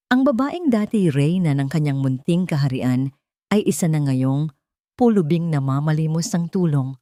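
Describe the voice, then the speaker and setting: Low-voiced woman narrating a dramatic story. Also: mellow, dry recording, studio quality.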